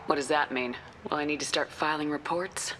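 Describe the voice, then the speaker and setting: A woman's voice: low voice, fairly even intonation, brisk, background noise, lightly acted. An actress playing a resolute, no-nonsense female space soldier in a video game, direct and assertive. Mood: businesslike. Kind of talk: video game dialogue acting.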